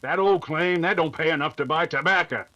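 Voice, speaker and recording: A man's voice: on the high side, fairly quick, bright, forceful tone, dull audio. A man playing a hesitant, greedy, folksy outlaw henchman in an old-time radio adventure. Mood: reluctant, grumbling.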